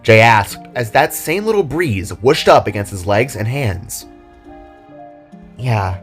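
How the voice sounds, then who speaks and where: A man's voice: medium pitch, very animated, unhurried, a bit bright. A man reading scripted fantasy fiction as a dramatic storyteller. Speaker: storyteller and author. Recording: music behind the voice.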